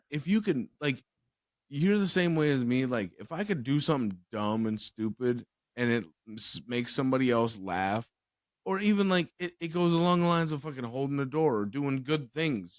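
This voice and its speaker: A man's voice: mid-range voice, normal speed, dry recording. A man hosting a casual podcast chat who rambles, swears freely and laughs often. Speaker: podcast host.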